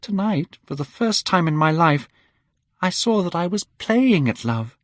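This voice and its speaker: A man's voice: very animated, measured pace, fairly bright tone, dry recording, exaggerated voice. A man voicing a tender, desperate young woman who is a stage actress, in a dry audiobook recording. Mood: pleading. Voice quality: high, pleading.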